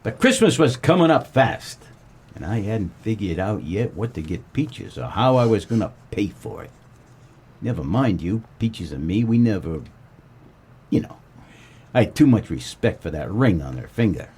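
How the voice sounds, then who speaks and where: An actor playing a wry, world-weary 1940s private eye, narrating a comedic noir radio play. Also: male voice, lowish voice, moderate pace, breathy edge, heavily acted. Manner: wry, deadpan, colloquial.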